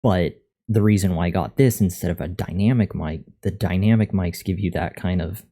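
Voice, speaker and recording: A man chatting casually on a live coding stream, thinking aloud in a monotone voice into a USB condenser microphone. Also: sits low, normal speed, breathy edge. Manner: thinking aloud, reading out and answering chat. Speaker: live streamer learning to code. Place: untreated room at a computer. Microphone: USB condenser microphone at a desk.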